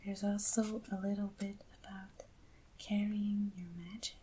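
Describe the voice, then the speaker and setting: A woman hosts an ASMR unboxing in a breathy, whispered voice. Her delivery is slow and gentle, with a soft, mellow tone. A faint noise sits behind her voice. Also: mid-range voice, little pitch movement. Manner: gentle, hushed, unhurried. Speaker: ASMR video host.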